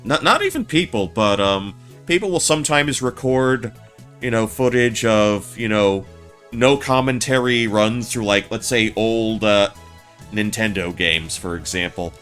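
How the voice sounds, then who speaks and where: A man with a naturally low voice chatting casually over a game stream. He rambles and stammers, restarting his sentences often. Faint game music plays behind him. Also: a little slow, a bit bright. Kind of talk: casual let's-play commentary.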